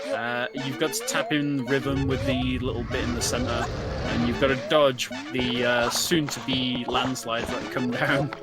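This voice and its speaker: A man's voice: medium pitch, fairly even intonation, a little slow. A man co-commentating on a speedrun in a casual, explanatory, somewhat hesitant way. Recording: loud game music behind. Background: video game music.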